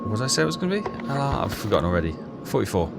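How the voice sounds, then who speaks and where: A man's voice: on the low side, big pitch swings, very fast, vocal fry. A man giving casual playthrough commentary, reading game text aloud and muttering hesitantly to himself as he puzzles things out. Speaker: gameplay commentator. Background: game music.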